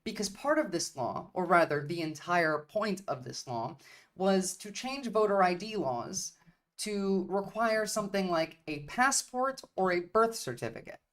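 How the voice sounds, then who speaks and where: A woman's voice: lowish voice, animated, measured pace. A woman from Turkey giving blunt, profane livestream commentary on politics, repeating her points for emphasis. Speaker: streamer.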